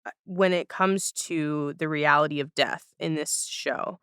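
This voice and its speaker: Woman hosting a chatty podcast, really smooth clear voice, no room echo, thinks out loud. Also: low-pitched, fairly even intonation, unhurried, clean studio sound. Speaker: podcast host. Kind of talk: casual podcast conversation.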